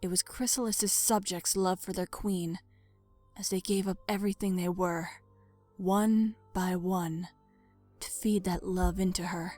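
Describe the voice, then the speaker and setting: A woman's voice: on the low side, fairly even intonation, a little slow, darkish tone. A woman narrating a dramatic story from a script. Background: music.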